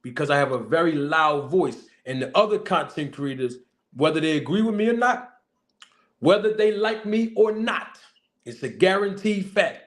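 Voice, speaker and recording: Man, boxing manager hosting, slightly high voice, forceful, repetitive emphasis, slangy casual talk. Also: lively intonation, normal speed. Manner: casual slang-heavy speech. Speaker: boxing manager and channel host.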